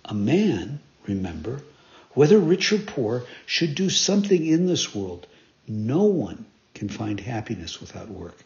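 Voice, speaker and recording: Brief: calm motherly fairy, voiced by a man, low and slow, dull lo-fi sound. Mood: admonishing. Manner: gentle, calm, instructive. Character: kind, patient motherly fairy woman. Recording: dull lo-fi recording.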